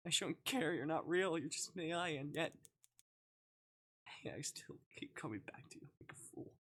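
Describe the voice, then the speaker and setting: A man's voice: on the high side, normal speed, a bit bright, pitched above the performer's own voice. A man voicing a despairing, bitter young man who is weary of living, in a dramatic visual novel reading. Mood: bitter, torn.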